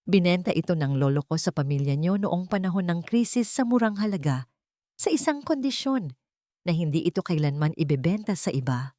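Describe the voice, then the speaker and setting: A woman's voice: on the low side, animated, fairly quick, dark tone, no echo, clean studio sound, lightly acted. A woman voicing a wronged wife in a dramatic story, cold, threatening and resolute as she accuses. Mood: stern accusation. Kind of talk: dramatic storybook dialogue reading.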